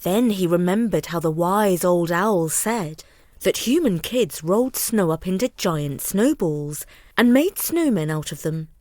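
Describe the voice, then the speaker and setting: Female bedtime storyteller: lively intonation, unhurried deliberate pace, reading for children. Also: lowish voice, no echo.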